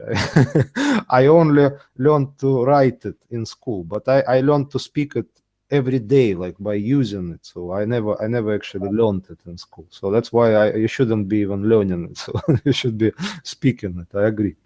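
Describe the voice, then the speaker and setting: A man whose first language is Russian, chatting casually on a video call as a tutor, relaxed, with frequent mm-hmms and soft laughs. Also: mid-range voice, normal speed.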